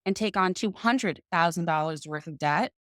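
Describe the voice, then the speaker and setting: A woman, a podcast guest telling her career story in a confident, deliberate way. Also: fairly low pitch, a bit bright, no echo, clean studio sound. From Boston, US. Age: 30s. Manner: confident, deliberate, slows for emphasis. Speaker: podcast guest, financial coach. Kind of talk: interview storytelling.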